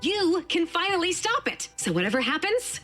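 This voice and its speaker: A woman's voice: very high voice, sing-song, normal speed, a bit bright, soft background music, heavily acted. A woman playing an earnest, encouraging scientist mentor delivering a grave message in a video game. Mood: solemn, encouraging.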